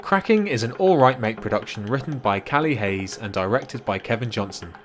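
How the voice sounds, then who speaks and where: A man's voice: medium pitch, lively intonation, a little fast, a bit bright, a little hiss or hum. A man announcing scripted production credits as host of an audio programme.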